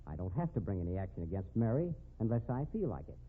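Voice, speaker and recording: Tough sardonic guy, swingy husky delivery, old 50s radio drama. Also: fairly low pitch, sing-song, fast talker. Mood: smug, sardonic. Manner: sardonic, hard-boiled. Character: confident, tough man of justice cornering a crook. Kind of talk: 1950s radio drama acting. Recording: narrow phone-like old radio recording.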